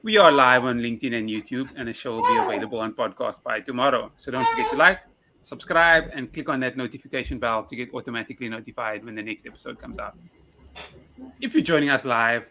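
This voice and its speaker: A man's voice: mid-range voice, sharp tone, a little hiss or hum. A man hosting a live-streamed interview show, reading an upbeat welcome and asking his guest questions. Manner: upbeat, scripted call to action. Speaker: show host. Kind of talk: podcast host intro and questions.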